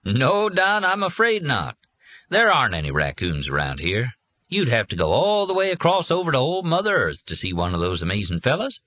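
A man's voice: mid-range voice, wildly swooping pitch, moderate pace, bright, forceful tone, dry recording, pitched above the performer's own voice, full character voice. A man voicing a folksy male teaching machine that lectures children in a sing-song way.

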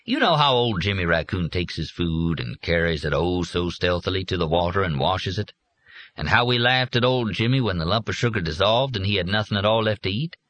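A man's voice: low-pitched, lively intonation, brisk, a bit bright, no echo. A man voicing a folksy male teaching machine that lectures children in a sing-song way.

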